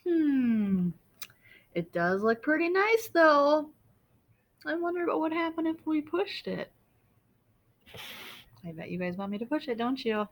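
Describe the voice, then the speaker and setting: A woman voicing an excitable, mischievous little male storybook monster, theatrical and exclaiming. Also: high voice, measured pace, long pauses, pitched above the performer's own voice, full character voice. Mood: tempted, sneaky. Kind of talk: animated children's picture-book read-aloud.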